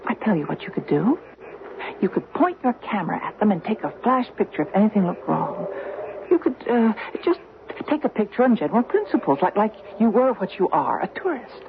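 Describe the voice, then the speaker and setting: A woman's voice: mid-range voice, sing-song, fairly quick, vocal fry. An actress playing an anxious, suspicious woman who urges earnestly and insistently in an old-time radio drama. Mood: coaxing. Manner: hesitant repetitions, earnest urging. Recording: muffled, noisy vintage radio recording.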